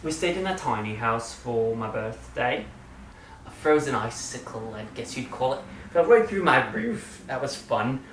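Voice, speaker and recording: A man talks to his channel in a casual, playful update and hams it up, swinging from loud bursts to quiet asides. He sits some way off the microphone, so the voice comes through distant. The room adds an echo, with a faint hum of room noise underneath. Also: mid-range voice, very animated, measured pace. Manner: playful, theatrical, jumps between loud and quiet; slips into a put-on British-style accent at times. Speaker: channel host.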